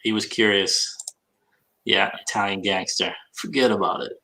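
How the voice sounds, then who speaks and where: A man's voice: fairly low pitch, fairly even intonation, moderate pace, creaky, pushed and bright, rough recording. A man with a Minnesota accent hosting a casual podcast hangout, teasing his co-host in friendly banter while he works. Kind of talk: casual chat while listing items.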